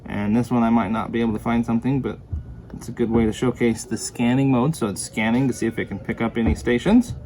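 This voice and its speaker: A man's voice: mid-range voice, fairly even intonation, quick pace, mellow, rough recording. A man giving a casual, plain and explanatory hands-on product demonstration. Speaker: product reviewer. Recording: clear background noise.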